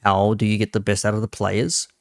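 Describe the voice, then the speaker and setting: A man's voice: on the low side, monotone, a little fast, no echo, studio quality. A man giving casual, opinionated commentary as a host, blunt and sweary in a conversational way. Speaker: host reacting to a football debate stream.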